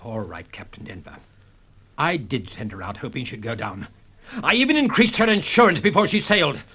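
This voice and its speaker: An actor playing an evasive, indignant young shipping boss in a radio drama, stammering and blustering. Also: male voice, medium pitch, big pitch swings, moderate pace, sharp tone, breathy edge, heavily acted. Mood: frightened, furious. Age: young adult. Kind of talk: 1950s radio drama acting.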